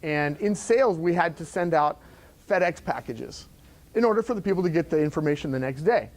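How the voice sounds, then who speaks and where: A man's voice: fairly high pitch, a little fast, darkish tone. Chatty middle-aged man at a live event. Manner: loose and conversational, many fillers and restarts. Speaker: entrepreneur giving a talk. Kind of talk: live talk to an audience. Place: event room before an audience.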